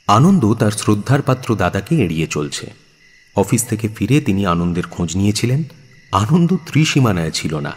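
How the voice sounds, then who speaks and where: Expressive male storyteller in long reverb. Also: fairly low pitch, breathy edge. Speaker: narrator. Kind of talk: dramatic literary story narration in Bengali. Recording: long reverb, music behind. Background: music.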